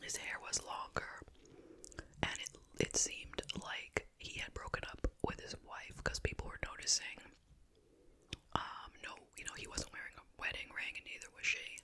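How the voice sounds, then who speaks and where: An ASMR host speaking in a breathy whisper, telling casual, gossipy stories with pauses in a chit chat style.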